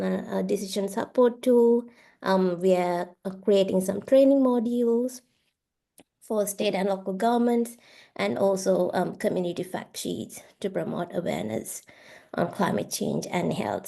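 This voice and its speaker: A woman from Sri Lanka, a research fellow giving a steady introduction to an online session, with frequent filler pauses. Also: mid-range voice, vocal fry.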